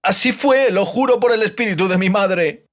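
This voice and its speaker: A man's voice: high voice, normal speed, voice pushed up, natural voice. A man voicing a terrified captive bard in an audiobook, breathless and whimpering as he pleads and rambles through frantic denials. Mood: tearful pleading.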